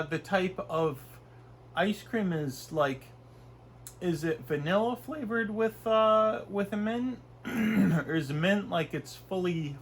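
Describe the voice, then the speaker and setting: A man's voice: on the high side, a bit bright, a little hiss or hum. Congested young man rambling through a review. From Canada. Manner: rambling, chatty, with frequent fillers and restarts. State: stuffy nose from a cold. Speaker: food reviewer. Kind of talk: casual food review vlog. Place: small bedroom, indoors.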